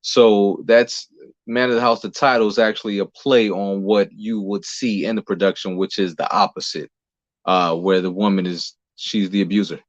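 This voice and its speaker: A man answering as an interview guest in a halting, explanatory, conversational way, pausing between phrases. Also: on the low side, steady tone, no room sound, muffled recording. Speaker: interview guest, playwright and lead actor.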